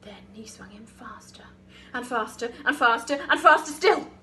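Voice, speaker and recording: A woman reading a children's story like an infant school teacher, expressive and with dramatic emphasis. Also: a little high, moderate pace, slight background noise.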